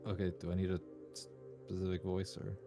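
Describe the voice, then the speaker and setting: A man on voice chat with a very low voice. He talks in an easygoing, relaxed way, with music in the background. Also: a little fast. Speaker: guest on voice chat. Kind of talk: casual chat.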